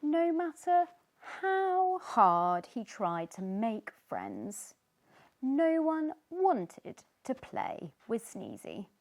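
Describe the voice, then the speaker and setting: Very animated woman reading to children. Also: sits high, extremely slow. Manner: animated, dramatic emphasis. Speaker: children's storybook reader and host. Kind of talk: expressive read-aloud storytelling for children.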